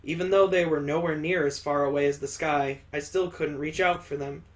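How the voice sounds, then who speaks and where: A man reading a visual novel aloud as a let's player, with expressive, dramatic narration. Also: fairly high pitch, normal speed.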